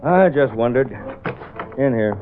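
An actor playing a brisk, businesslike police detective with a curt, probing manner in a vintage radio drama. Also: male voice, medium pitch, animated. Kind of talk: 1940s-50s radio drama acting. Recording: tinny old radio recording, faint music.

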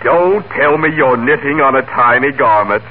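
A man's voice: mid-range voice, lively intonation, moderate pace, lightly acted. A man playing a polite, formal visitor in 1940s radio comedy style. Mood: polite, genial. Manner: courteous, formal. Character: polite, courteous male visitor bearing an official message. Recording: tinny, noisy 1940s radio recording.

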